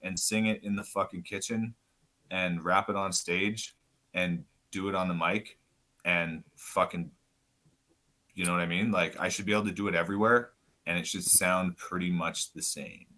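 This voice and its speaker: Loud, swearing man with a bright voice. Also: low voice, steady tone, moderate pace. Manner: loud, swears often, rambling. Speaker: podcast guest, rapper. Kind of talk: casual chat.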